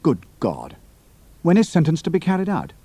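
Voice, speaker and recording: Lively, brisk young British airman. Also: fairly high pitch, slightly rough, no room sound, exaggerated voice. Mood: clipped, cool. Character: young British flying officer working undercover, brisk and plucky. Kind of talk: audio drama acting.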